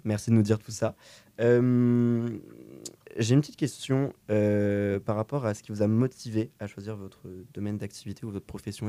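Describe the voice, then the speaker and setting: A man's voice: lowish voice, dark tone, no echo. A man hosting an informal interview, speaking in an enthusiastic but hesitant way. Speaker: interview host. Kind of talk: informal radio-style interview.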